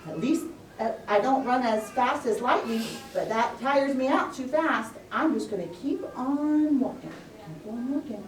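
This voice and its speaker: A woman voicing a patient, modest tortoise in a puppet show, speaking in a steady, unhurried way. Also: a little high. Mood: steady plodding. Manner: slow, soft, repetitive, unhurried. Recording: echoey, distant mic, faint noise.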